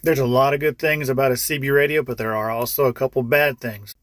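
A man's voice: medium pitch, fairly even intonation, fast talker, noisy background. A man in his thirties, a truck driver, talking casually to his phone in a truck cab. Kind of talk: casual talk to camera. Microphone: phone at arm's length.

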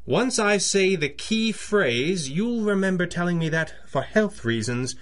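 A man playing a wealthy, composed young gentleman in a 1930s-style radio drama, speaking in a dry, formal, polite way. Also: sits high, animated, measured pace. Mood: dry, commanding.

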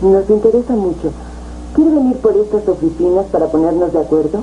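An actress playing a polite, persuasive, businesslike office clerk in a 1970s telenovela. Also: female voice, mid-range voice, lively intonation, a little fast, close to an everyday voice. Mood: assured, persuasive. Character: brisk, assured, businesslike female office clerk on telephone. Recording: muffled, noisy old TV tape.

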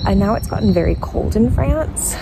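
Young woman, gravelly voice, slightly low, chatty vlog talk, phone held at arm's length outdoors, loud street noise. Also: animated, normal speed. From United States. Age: young adult. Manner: chatty, many fillers like "um" and "like". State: voice low and rough from dry air. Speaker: travel vlogger. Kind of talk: casual walking vlog. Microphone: phone camera held at arm's length.

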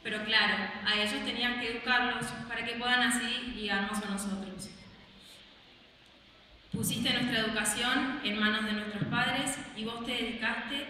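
A woman's voice: on the high side, moderate pace. Young Argentine woman reading a eulogy. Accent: Argentine Spanish. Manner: heartfelt, steady reading. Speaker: granddaughter giving a eulogy. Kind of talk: reading a prepared farewell speech. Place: large church, indoors. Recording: echoey church from far off, with background noise.

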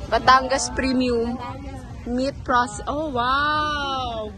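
A woman's voice: sits high, extremely slow, a crowd in the background. Gushing Filipino woman vlogging by phone. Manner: gushing, excitable, mixes English into Tagalog. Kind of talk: casual travel vlog. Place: outdoors at a town plaza and market. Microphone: phone held at arm's length.

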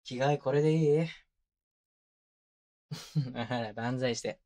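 A man's voice: slow, lightly acted. Man acting a doting, teasing young boyfriend, close-up. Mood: playful exasperation. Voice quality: medium-low voice. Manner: casual, rough-edged masculine speech, soft laughs. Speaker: voice actor. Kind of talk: intimate boyfriend roleplay audio drama, binaural ASMR. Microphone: close binaural microphone.

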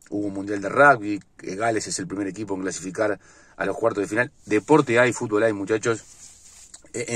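A man's voice: fairly low pitch, no room sound. A man in his forties with a Uruguayan Spanish accent, ranting bluntly about football from inside a car, with many fillers and restarts. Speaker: sports vlogger. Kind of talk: opinionated solo football commentary. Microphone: phone or webcam at arm's length.

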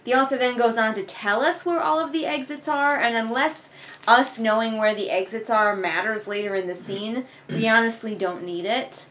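Youngish woman ranting casually, kinda high voice, sarcastic, bit noisy room recording. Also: normal speed, fairly bright tone. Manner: sarcastic, rambling, swears casually. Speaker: book reviewer reading along. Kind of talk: casual critical commentary. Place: living room couch, indoors. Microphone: camera a few feet away. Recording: noisy living room camera audio.

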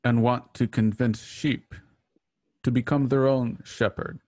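A man playing a grave, fatalistic rebel in a radio play, terse, deliberate and solemn. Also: mid-range voice, steady tone, darkish tone, no echo, studio quality, close to an everyday voice. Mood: grave, resolute. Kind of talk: remote radio play reading.

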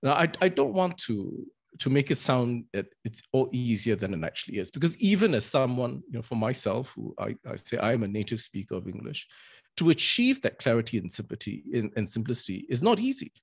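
A man's voice: medium pitch, very animated, fairly quick, gravelly, breathy edge. Middle-aged man speaking on a video call. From Singapore. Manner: earnest, many restarts and fillers. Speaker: barrister and arbitrator. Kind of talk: webinar talk. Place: indoors with virtual green backdrop. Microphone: video call, webcam.

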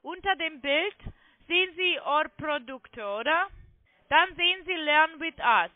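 High-pitched woman on a phone line. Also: animated, measured pace, dry recording. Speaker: phone sales agent claiming to be technical support. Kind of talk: phone sales call, step-by-step instructions.

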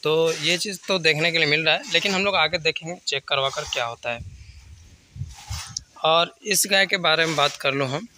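Male dairy farmer host with a Bihari Hindi accent, bright voice, casual explainer, recorded outdoors on a phone. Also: on the high side, moderate pace, slight background noise. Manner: conversational, explanatory, mixes English words. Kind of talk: casual walkthrough vlog. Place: open-sided cattle shed outdoors.

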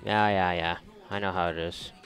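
A man with a fairly low voice comments casually on a game as he plays. He is sarcastic and joking, with plenty of swearing. Soft game music runs behind him. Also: steady tone, normal speed. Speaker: gamer, video creator. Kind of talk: casual let's play commentary.

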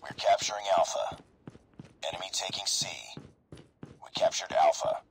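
A man's voice: low-pitched, a little slow, very noisy. A man playing a stern military announcer in a video game, calling battle objectives in clipped, commanding phrases. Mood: terse urgency. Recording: game audio under loud gunfire. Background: gunfire and weapon clicks.

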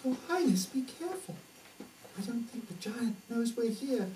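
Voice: mid-range voice, expressive, moderate pace, natural voice. A performer voicing a timid, fretful small page in a fairy-tale puppet show, anxious and pleading. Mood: frightened, pleading. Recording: distant echoey stage, noisy.

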